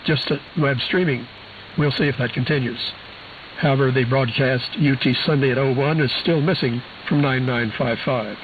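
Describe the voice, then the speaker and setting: A man's voice: medium pitch, fairly even intonation, moderate pace. A man presenting a scripted news bulletin in a dry, wry manner, heard over a shortwave radio transmission. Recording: hissy, phone-like shortwave radio reception, heavy static.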